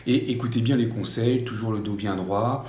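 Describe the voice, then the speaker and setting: A man in his fifties leads a home strength workout in a soft, mellow voice, gently reassuring listeners and pausing with hesitations. He sounds distant from the microphone in a strongly echoing room, with faint music underneath. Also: lowish voice. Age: 50s. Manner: gentle, reassuring, repeats advice not to strain, frequent hesitations. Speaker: life coach leading a strength workout. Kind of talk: exercise instruction video. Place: room at home with an exercise mat. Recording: very echoey room, voice far from mic.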